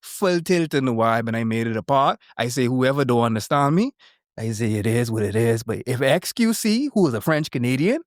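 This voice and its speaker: A man's voice: mid-range voice, lively intonation, fairly quick, no echo, clean studio sound. A young man with a thick Bahamian accent, a content creator, chatting on a podcast in a boastful, joking way full of slang. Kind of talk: casual podcast chat.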